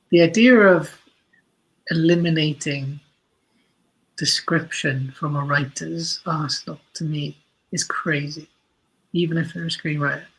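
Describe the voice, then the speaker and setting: A man with a husky voice talks reflectively as an interview guest. His delivery halts and restarts, then presses firmly on important phrases, often saying them twice. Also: fairly high pitch, a bit bright, rough recording. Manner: halting, emphatic, repeats phrases for stress. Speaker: guest, audio drama writer.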